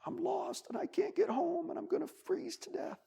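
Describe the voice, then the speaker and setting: A storyteller voicing a tiny, frightened baby field mouse in a high, squeaky voice. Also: moderate pace, vocal fry, dark tone, full character voice. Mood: scared.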